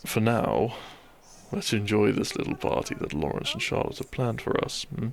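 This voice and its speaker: A man acting a gentle, devoted country doctor and bridegroom in a romantic audio drama, soft-spoken and formal in his wording. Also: on the low side, animated, moderate pace, vocal fry. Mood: tender, curious.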